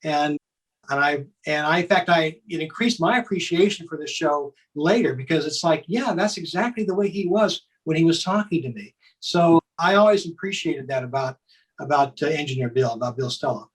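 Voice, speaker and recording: A man reminiscing as an interview guest, thoughtful and halting. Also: on the high side, slightly rough. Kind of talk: reminiscing in conversation. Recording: distant from the mic.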